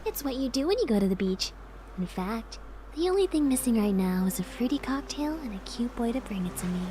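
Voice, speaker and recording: A woman's voice: fairly high pitch, animated, brisk, mellow, a little hiss or hum, close to an everyday voice. A woman playing a playful, teasing young woman in a visual novel game, with music behind her.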